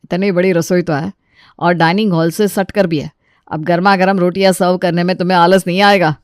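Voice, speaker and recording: A woman voicing a polite, practical husband and son in an animated family story, plain-spoken and placating. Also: on the low side, a little fast, clear, resonant tone, dry recording, clean studio sound, close to an everyday voice. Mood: teasing, dismissive.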